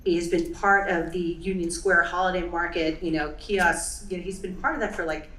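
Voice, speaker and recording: A woman's voice: lowish voice, steady tone, moderate pace, fairly bright tone. Woman giving a chatty talk to a crowd, kinda far from the mic, echoey room. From United States. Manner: chatty, many "right?" and "you know". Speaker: event speaker. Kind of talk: presenting to a live audience.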